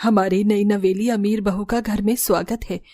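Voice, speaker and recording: A woman's voice: mid-range voice, fairly even intonation, normal speed, dark tone, close to an everyday voice. A woman voicing a humble middle-aged mother-in-law in a cartoon, motherly and apologetic. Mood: welcoming, apologetic. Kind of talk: Hindi cartoon story dialogue.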